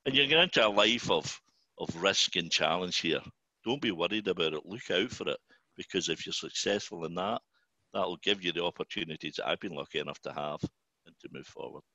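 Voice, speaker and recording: A man's voice: deep voice, brisk, bright, forceful tone, dry recording. An older Scottish man with a Glasgow accent, speaking informally to students as a guest executive, often repeating words as he starts sentences. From Scotland.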